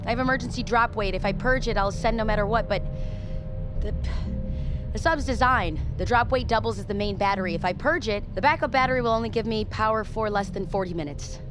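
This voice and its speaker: A woman's voice: medium pitch, fast, close to an everyday voice. An actress playing a plain-spoken, stoic woman submersible pilot in a naturalistic audio drama. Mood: focused, worried. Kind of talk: naturalistic audio fiction acting. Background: music, vehicle sounds.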